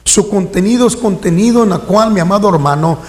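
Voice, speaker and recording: A middle-aged man preaches a sermon in a high voice. He keeps turning to his listeners, calling them warmly and pressing them with questions. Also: brisk. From Mexico. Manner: preaching with a rhetorical refrain and questions to the congregation. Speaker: pastor.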